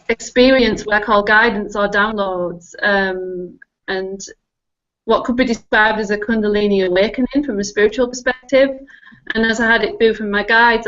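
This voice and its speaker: A woman's voice: mid-range voice, a bit bright. A woman from Northern England with a Northern English accent, chatting as an interview guest with frequent ums and easy laughter. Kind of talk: relaxed interview talk. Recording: slightly muffled live stream audio.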